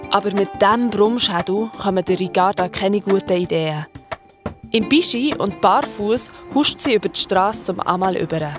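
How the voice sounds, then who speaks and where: Swiss lady narrator for kids audio play, medium pitch, chatty storytelling, some music under. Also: normal speed. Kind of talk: colloquial storytelling narration for children.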